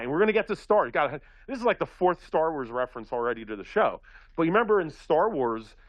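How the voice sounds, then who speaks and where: A man from Philadelphia talking in a casual radio chat, rambling and animated, with many restarts. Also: a little high, dry recording.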